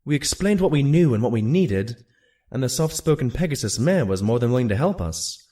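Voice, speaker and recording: A man's voice: mid-range voice, very animated, a little fast, warm tone. A man playing an earnest, polite pony doctor and detective's assistant in a cartoon audio drama, articulate and courteous. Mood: composed.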